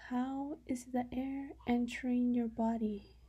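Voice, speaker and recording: A woman leading a guided meditation in a soft, breathy voice that often sinks toward a whisper, giving calm instructions with long pauses. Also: fairly high pitch, dull audio.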